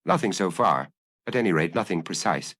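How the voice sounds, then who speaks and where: A man voicing a calm, terse police inspector with a measured, probing, noncommittal manner in an audiobook. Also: low voice, dry recording.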